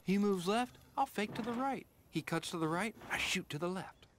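Lively cocky young race car. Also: male voice, sits high, moderate pace, a little hiss or hum. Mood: scheming, cocky. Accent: American. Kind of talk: animated video game acting.

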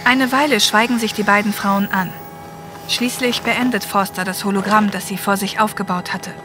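Woman narrator for audio fiction, medium-pitched, over noticeable vehicle ambience. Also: steady tone, normal speed, background noise. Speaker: story narrator. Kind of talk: scripted narration of an audio story.

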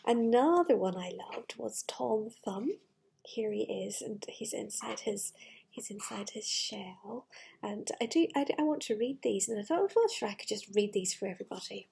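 A middle-aged woman with a breathy voice, reading a fairytale to children with gushing, chatty asides in a living room. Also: mid-range voice, expressive, moderate pace, a little mellow. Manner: gushing, wistful enthusiasm for the book. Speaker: children's storyteller. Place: living room with large glass doors. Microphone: device camera at arm's length.

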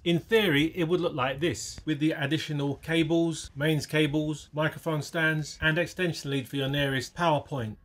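A man's voice: fairly high pitch, a bit bright, a little hiss or hum. A man presenting as a channel host, reading a scripted product-review narration. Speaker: host of an audio gear channel.

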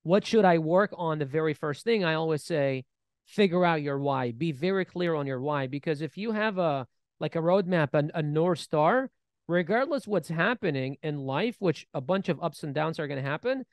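A man in his thirties co-hosting a casual podcast, talking in an animated way with many restarts, recorded on a studio mic. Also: fairly high pitch, moderate pace, no room sound. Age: 30s. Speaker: podcast co-host. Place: bright studio room, seated in armchair. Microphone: boom-mounted studio mic.

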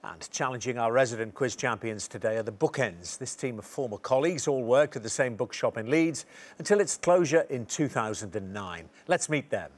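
A man's voice: medium pitch, lively intonation. A middle-aged man hosting a studio quiz show, reading questions and chatting with contestants. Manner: brisk, reads questions clearly.

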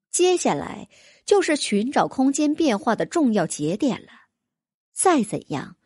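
Husky woman narrating with lively intonation. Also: mid-range voice, no room sound, clean studio sound. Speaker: audiobook narrator. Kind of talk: scripted dramatic fantasy novel narration.

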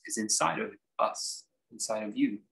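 A man teaching a guided meditation, speaking in measured, halting phrases with reflective pauses. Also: fairly low pitch, vocal fry, a bit bright. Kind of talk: guided meditation, unscripted instruction.

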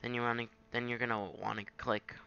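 A man giving an informal, halting software tutorial, thinking aloud as he goes. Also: mid-range voice, level tone, gravelly, clear, resonant tone, dull audio. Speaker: tutorial presenter. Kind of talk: casual screen-capture software tutorial.

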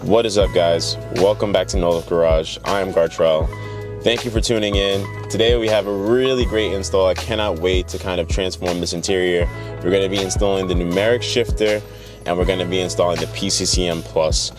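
A young American man with a low voice talks casually to camera, like a car vlogger chatting with his viewers. Music plays clearly behind him. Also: fairly even intonation, brisk. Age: young adult. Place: inside a parked car, later a garage. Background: background music.

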